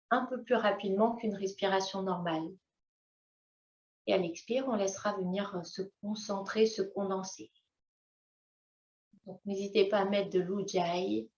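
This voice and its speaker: Woman yoga teacher talking thru breathing, gentle w long pauses, roomy echo and kinda dull sound. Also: mid-range voice. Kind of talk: guided yoga breathing instruction. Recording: echoey room, slightly dull sound.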